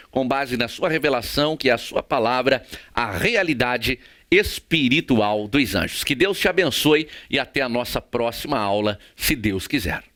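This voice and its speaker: A Brazilian man giving a prepared theology lecture in a formal, didactic way with measured phrasing. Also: mid-range voice, bright, forceful tone. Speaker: theology teacher.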